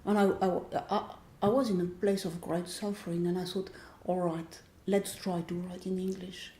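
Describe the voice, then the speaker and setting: A woman in her sixties from France with a French accent, a novelist speaking reflectively in an interview, often pausing to search for words. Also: fairly low pitch, little pitch movement, mellow, a little hiss or hum. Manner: thoughtful, searching for words, restarts phrases. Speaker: author being interviewed. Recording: echoey room.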